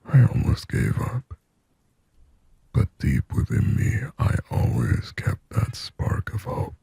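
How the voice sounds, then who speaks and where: A man voicing a lonely, possessive sleep paralysis demon in a hushed, breathy, intimate ASMR roleplay, halting and close to the ear. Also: sing-song, dry recording, full character voice. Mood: possessive, longing. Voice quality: breathy, husky whisper with low gravelly rasp. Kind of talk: scripted ASMR roleplay monologue. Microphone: close microphone, dry.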